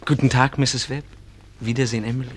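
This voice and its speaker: A man's voice: medium pitch, fairly even intonation, fast talker, a little hiss or hum, close to an everyday voice. An actor playing an earnest, bashful fifteen-year-old small-town boy, hesitant and polite, in a German radio drama. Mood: polite, awkward.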